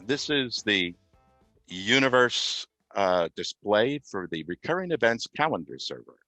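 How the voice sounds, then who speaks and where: Deep voiced guy walking thru a software demo, kinda halting, really dry close sound. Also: sing-song, no room sound, soft background music. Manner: halting, pausing mid-phrase while explaining. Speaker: guest demonstrating software. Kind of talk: unscripted software demo walkthrough.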